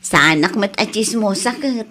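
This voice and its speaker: A woman's voice: medium pitch, expressive, normal speed, bright, forceful tone, slight background noise. An actress with an Ilocano Filipino accent playing an indignant, feisty young neighbor woman in a comedy radio drama. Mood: guarded.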